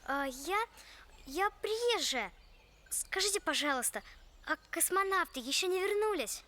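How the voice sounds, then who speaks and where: High, animated young girl. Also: unhurried, slight background noise, exaggerated voice. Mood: polite, curious. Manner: eager, exclamatory. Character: lively, polite, curious young girl visiting from elsewhere. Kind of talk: animated film dialogue.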